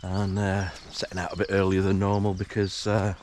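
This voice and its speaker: A man narrating a morning countryside walk in a relaxed, hesitant way, full of fillers, his voice a little croaky from just waking up. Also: sits low, moderate pace, slight background noise. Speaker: hiking vlogger. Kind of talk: casual walking commentary. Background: rural outdoor sounds, animals.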